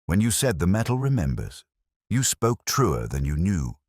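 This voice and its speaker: A man voicing a brilliant, grave detective in an audio story, deliberate, incisive and coolly analytical. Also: sits low, very animated, darkish tone, dry recording, clean studio sound, pitched above the performer's own voice, heavily acted. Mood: intent.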